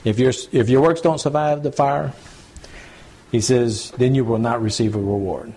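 A man, a pastor teaching scripture to a small home church group in a relaxed, repetitive, conversational way. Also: medium pitch, normal speed, slight background noise. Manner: conversational, repeats points, addresses group as y'all. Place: small home gathering, recorded to CD.

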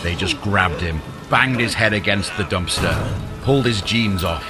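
A man reading a scripted fantasy audiobook in a dramatic, deliberate storytelling manner. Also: low voice. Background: music under the voice.

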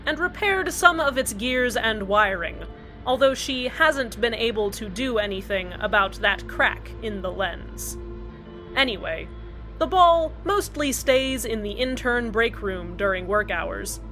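Bright-voiced woman radio host. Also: a little high, rough recording. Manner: cheerfully matter-of-fact. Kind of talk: scripted fan-fiction radio narration.

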